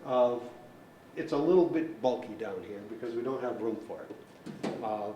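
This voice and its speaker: A man's voice: mid-range voice, moderate pace. Guy doing a tech walkthrough in a big echoey room, mic kinda far off, plain and methodical explaining. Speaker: technician instructor. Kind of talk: hands-on equipment tutorial. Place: large hall. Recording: roomy echo, distant mic, faint noise.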